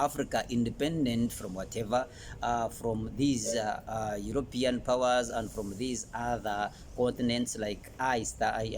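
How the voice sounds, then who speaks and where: A man with a Ugandan accent presenting an educational talk in an emphatic, halting way, often repeating phrases. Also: medium pitch, darkish tone. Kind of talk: informal educational presentation to camera. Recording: noticeable background noise.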